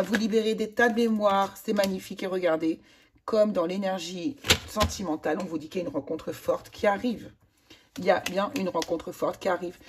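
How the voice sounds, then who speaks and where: Hoarse enthusiastic woman reading tarot. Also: medium pitch, darkish tone. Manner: chatty, enthusiastic, many fillers and exclamations. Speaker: tarot reader. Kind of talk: casual tarot card reading to viewers.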